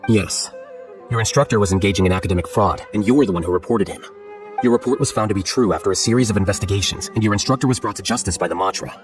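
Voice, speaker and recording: An actor playing a calm, composed, aloof young scholar in a video game, speaking in a measured, matter-of-fact, articulate way. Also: male voice, fairly low pitch, over music, lightly acted. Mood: calm, detached. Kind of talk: scripted video game dialogue.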